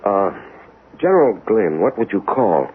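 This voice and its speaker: A precise, deferential accounts clerk in a 1970s radio play. His voice is low but swings widely in pitch, on a muffled old recording. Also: sing-song, unhurried, slight background noise. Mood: dutiful, precise. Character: meek, dutiful, precise government accounts clerk. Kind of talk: 1970s radio drama acting.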